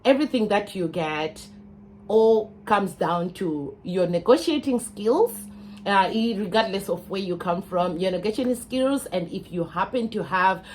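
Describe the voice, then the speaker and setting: A woman's voice: mid-range voice, expressive, a little slow, a little mellow, a little hiss or hum, low-quality recording. A Zimbabwean woman in her thirties, an English teacher, speaking earnestly to her viewers with a lot of fillers. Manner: earnest, advising, with frequent fillers. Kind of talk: casual talk to camera.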